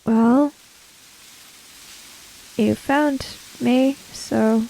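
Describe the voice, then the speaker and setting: Tabletop game role: guarded, anxious, stubborn samurai-era woman, played by a woman. Slow, clipped lines, slightly high voice. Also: steady tone, creaky, dark tone, a little hiss or hum, heavily acted, poor audio. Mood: stubborn, sullen. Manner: terse, guarded, deliberate.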